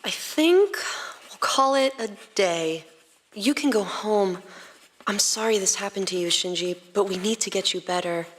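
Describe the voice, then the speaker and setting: A woman playing a calm, coolly professional doctor who speaks softly to a child in an audio story. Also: mid-range voice, a little slow. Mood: coolly reassuring. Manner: soft, controlled, clinical. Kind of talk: scripted audio fiction acting.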